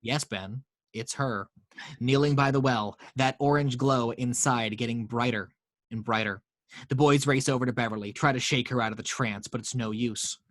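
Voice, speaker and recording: A man reading action lines aloud as narrator of a table read, in a steady storytelling way with small slips. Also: mid-range voice, moderate pace, no room sound.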